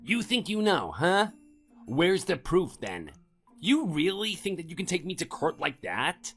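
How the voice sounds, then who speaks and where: A man playing an arrogant, whiny husband in an audio drama, blustering with a husky voice. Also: sits high, big pitch swings, a little slow, fairly bright tone, faint music behind, exaggerated voice. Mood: indignant, defiant. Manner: indignant, blustering, pleading. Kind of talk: amateur audio drama acting.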